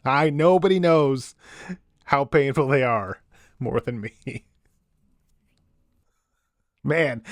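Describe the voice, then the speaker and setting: A man's voice: mid-range voice, no echo, studio quality. A man playing a casual, informal host of a late-night paranormal radio call-in show. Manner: chuckling, informal, apologetic.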